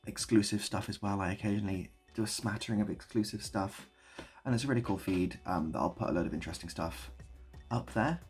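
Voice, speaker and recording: A man talking casually to camera in a rambling, self-deprecating way with deadpan whimsy. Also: deep voice. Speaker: video creator and host. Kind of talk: casual improvised monologue to camera.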